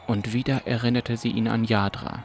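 A man's voice: medium pitch, level tone, normal speed, creaky, a faint crowd, slight background noise. A man narrating a scripted fantasy audiobook as a storyteller. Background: music and ambience.

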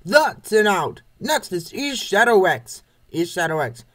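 A man's voice: high voice, big pitch swings, unhurried, a bit bright. A man reading scripted play-by-play narration of a comic baseball game. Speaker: narrator of a comic dub series.

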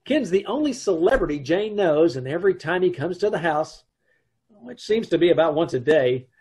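A man playing a wry married narrator in an old-time radio comedy, telling of his wife's antics in a dry, deadpan way over a video call. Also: a little high, normal speed, slightly rough. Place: home room, on a video call.

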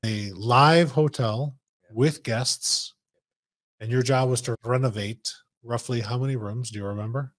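A man's voice: mid-range voice, unhurried. A middle-aged man hosting a podcast in an office meeting room, talking into a desk mic in an upbeat, teasing way. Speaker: podcast host. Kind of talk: lively on-site podcast chat.

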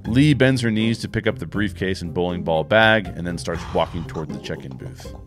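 A man in his forties reading screenplay action lines in a measured, wry way into a studio microphone in a home podcast studio. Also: deep voice, music in the background. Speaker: host and narrator. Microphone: studio microphone with headphones.

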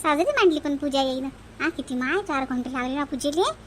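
Very shrill village woman acted out. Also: animated, brisk, slight background noise. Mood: chatty. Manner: teasing, complaining, folksy. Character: teasing, chatty village women of a family. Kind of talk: comedy cartoon dialogue.

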